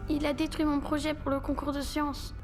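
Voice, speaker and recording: A woman's voice: high voice, completely flat delivery, moderate pace, roomy sound, music in the background, close to an everyday voice. A female performer playing a blunt, curious young girl in film dialogue. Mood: upset.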